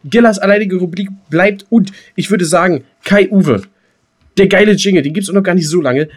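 Higher-voiced man in casual podcast banter. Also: moderate pace, husky. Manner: colloquial, jokey, repeats phrase openings. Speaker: podcast co-host.

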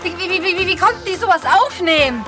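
A woman's voice: very high voice, lively intonation, fast. An actress playing a shocked, agitated, stammering woman in an audio drama. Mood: alarmed, disgusted. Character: shocked, foul-mouthed woman reacting in disbelief. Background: music.

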